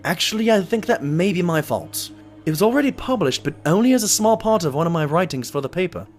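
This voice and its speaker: A man playing an earnest, fussy pony sidekick and chronicler in an audio drama, excitable and easily exasperated. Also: sits high, quick pace, faint music behind. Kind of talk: scripted audio drama acting and narration.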